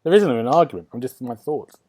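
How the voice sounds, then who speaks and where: A man's voice: medium pitch, animated, brisk, warm tone. A man hosting a casual gaming podcast, rambling and thinking aloud, often seeking agreement. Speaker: podcast host.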